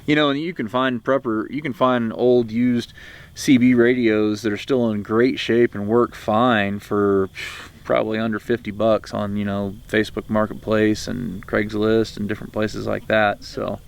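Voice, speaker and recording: Low, gravelly American man talking casually. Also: flat delivery, moderate pace. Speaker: video host, prepper reviewer. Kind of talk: casual explanatory product talk to camera.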